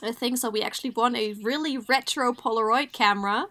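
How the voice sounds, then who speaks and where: A woman guest on a podcast who chats eagerly and laughs easily. Her voice sits a bit high and has a crisp, bright edge to it. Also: fairly quick. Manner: chatty, enthusiastic, laughs often. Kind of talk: casual chat.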